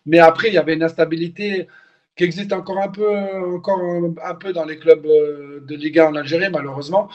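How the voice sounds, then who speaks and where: A man's voice: fairly high pitch, fairly even intonation, a little fast, highs cut off. A man born and raised in France, a former footballer speaking candidly as an interview guest, often repeating words as he searches for them. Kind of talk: casual interview.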